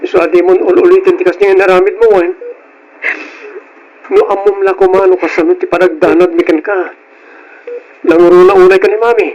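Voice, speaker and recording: Man acting an anxious, high-pitched younger brother. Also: lively intonation, brisk, pauses often, darkish tone, lo-fi sound. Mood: pleading. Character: worried young student, devoted younger brother, earnest. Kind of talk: Ilocano radio drama acting.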